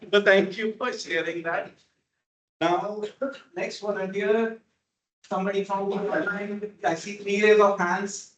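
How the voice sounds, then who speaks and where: A man's voice: sits high, flat delivery, slow, pauses often, a bit bright, echoey room, poor audio. A man hosting a club meeting, calling on speakers in a friendly way with frequent fillers. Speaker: table topics master.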